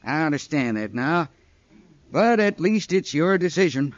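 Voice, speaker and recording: Higher-voiced folksy Western saloon man. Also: very animated, unhurried. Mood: friendly. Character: affable Old West saloon partner. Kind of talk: old-time radio Western acting. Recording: dull vintage radio recording.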